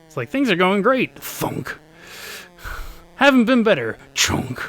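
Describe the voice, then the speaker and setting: A man of forty, a bit hoarse, chatting upbeat with viewers while he plays a live speedrun from home. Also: high-pitched, sing-song, slow, a bit bright, faint music behind. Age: 40s. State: slightly hoarse. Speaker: speedrun streamer. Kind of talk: live-stream gameplay commentary.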